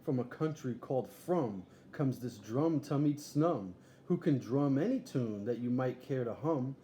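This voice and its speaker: A man's voice: mid-range voice, lively intonation, measured pace, dark tone, lightly acted. A man voicing an eager, boastful boy showman in a storybook, barking grandly like a ringmaster. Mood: showy, proud. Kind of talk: storybook read-aloud character. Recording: echoey room, faint background noise.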